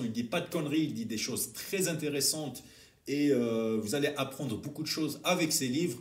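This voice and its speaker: A man talking informally and unscripted to his online viewers, halting and repeating himself, in an echoey room. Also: on the high side. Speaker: online language channel host.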